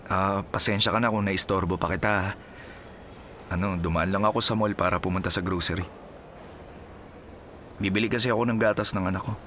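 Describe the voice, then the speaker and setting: A man's voice: low voice, steady tone, brisk, long pauses, sharp tone, a little hiss or hum. An actor playing a humbled, weary single father in a Filipino radio drama, hesitant and apologetic, with a Filipino accent. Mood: sheepish. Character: weary, humbled jobless single father fallen on hard times. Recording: music behind the voice.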